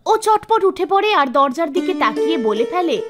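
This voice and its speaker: A woman narrating an animated folk tale in a scripted storytelling style. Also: very high-pitched, moderate pace. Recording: music under the voice. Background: background music.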